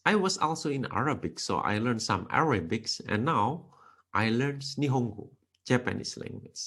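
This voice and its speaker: A man with an Indonesian accent gives a programming tutorial in careful, non-native English, lecturer style. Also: breathy edge. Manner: slow, careful, with non-native grammar slips; lively intonation with sudden pitch rises.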